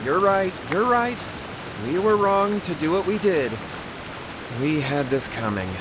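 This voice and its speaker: A man's voice: sits high, animated, measured pace, noisy background. An actor playing a timid, anxious young man in a video game, nervous and apologetic. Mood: remorseful.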